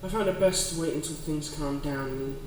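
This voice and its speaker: A man's voice: on the high side, fairly quick, close to an everyday voice. A man playing a courtly, possessive demon in an ASMR roleplay, speaking in formal, genteel phrases. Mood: courtly, soothing. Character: suave, courtly male demon coveting a lonely person's heart. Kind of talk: ASMR roleplay, scripted dramatic monologue. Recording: very echoey, distant, clearly noisy ambience.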